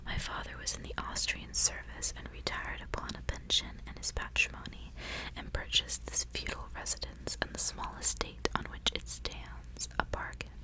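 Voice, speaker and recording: A woman reading in a soft, breathy ASMR whisper, with slow, careful, hushed delivery, close to the microphone. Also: poor audio. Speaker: ASMR host reading a book aloud.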